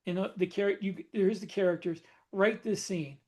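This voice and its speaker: A man's voice: sits high, fairly even intonation, moderate pace. A man co-hosting a casual podcast, explaining his experience thoughtfully, step by step. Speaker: podcast co-host. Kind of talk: casual panel chat.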